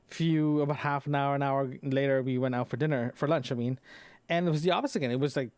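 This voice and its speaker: A man recording a casual, unscripted solo audio log, talking in a rambling, halting way and often rephrasing himself. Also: medium pitch, fairly even intonation, a little mellow, clear, resonant tone, no echo. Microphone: close microphone into a recorder, compressed.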